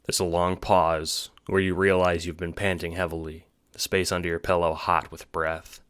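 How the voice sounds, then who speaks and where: A man's voice: low voice, level tone, moderate pace, a bit bright, clean tone, dry recording. A man reading scripted second-person narration for an audio drama.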